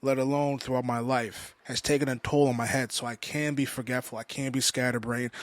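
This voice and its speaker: Guy in his 20s doing a confessional solo podcast, kinda halting, repeats himself, dry room. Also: medium pitch, a bit bright, no echo. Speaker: podcast host. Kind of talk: personal monologue, confessional storytelling.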